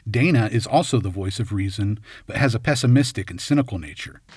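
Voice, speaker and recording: A man narrating a scripted film analysis in measured, short punchy phrases. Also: on the low side, expressive, darkish tone, husky, dry recording, studio quality, soft background music. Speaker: video essay host and narrator.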